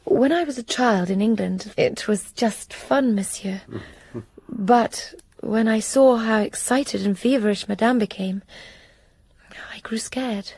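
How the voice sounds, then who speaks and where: A hushed young English companion. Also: female voice, fairly high pitch, slow pace, no room sound, heavily acted. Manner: soft, hushed, breathy delivery. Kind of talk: radio drama narration and acting.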